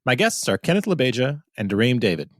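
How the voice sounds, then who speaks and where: Male podcast host, mid-pitched, upbeat and conversational, dry close-miked sound. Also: very animated, fast, dry recording. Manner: upbeat, scripted intro then conversational questions. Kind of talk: podcast interview hosting.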